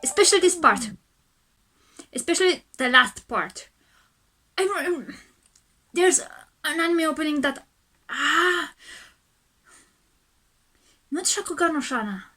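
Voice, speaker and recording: High-voiced calm woman reacting to music. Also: slow, pauses often. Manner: calm, soft-spoken, with hesitant restarts. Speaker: reaction video host. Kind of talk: casual music reaction commentary.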